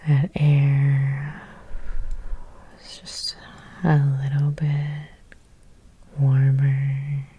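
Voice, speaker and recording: A woman whispering a guided ASMR hypnosis in a breathy, gentle, soothing way, close to the microphone with long pauses. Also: rumbling low, completely flat delivery.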